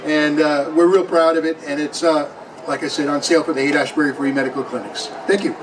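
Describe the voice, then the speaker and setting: Distant, higher-voiced older man telling stories. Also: steady tone, quick pace. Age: 60s. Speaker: former radio DJ, panel guest. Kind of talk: anecdote told to an audience. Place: public event with audience. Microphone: event microphone. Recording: distant event mic, faint noise.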